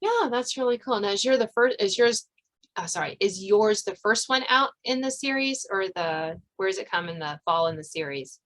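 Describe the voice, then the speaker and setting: A woman hosts a casual interview in a bright, forward voice. Her pitch is in the middle range, and she tends to restart and reword her sentences. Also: expressive, normal speed. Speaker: podcast host.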